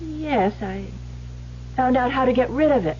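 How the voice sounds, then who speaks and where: A scheming wife speaks sweetly and with composure in a fairly high voice. The old radio drama recording is dull, with faint noise. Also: female voice, animated, a little slow, a little mellow. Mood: composed, sweet. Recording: muffled, faintly noisy vintage radio recording.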